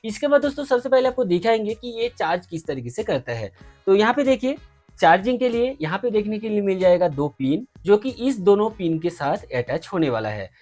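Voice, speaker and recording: A man's voice: sits high, very animated, fairly quick, darkish tone. A man hosting a tech review, explaining a product step by step in an instructional, friendly-address style with repeated stock phrases. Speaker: tech review channel host. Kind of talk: step-by-step product demonstration to camera. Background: faint background music.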